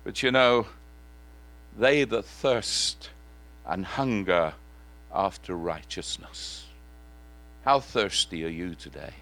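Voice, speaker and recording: A man preaching a sermon in church in a slightly croaky voice, asking earnest questions and singing parts of a hymn. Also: deep voice, slow, pauses often, fairly bright tone.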